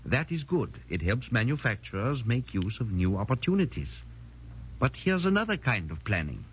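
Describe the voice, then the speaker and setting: An actor playing an earnest foreign professor who lectures formally and emphatically in a 1940s radio drama. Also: male voice, medium pitch, very animated, normal speed, slight background noise, close to an everyday voice. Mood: earnest, didactic. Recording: muffled 1940s radio broadcast.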